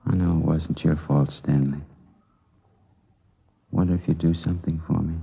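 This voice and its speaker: A grieving dad in an old radio play, low husky voice, muffled recording. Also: male voice, flat delivery, normal speed, heavily acted. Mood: subdued grief. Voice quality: gravelly, husky. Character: grieving, distraught father of a young boy, holding himself together. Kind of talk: 1950s radio drama acting.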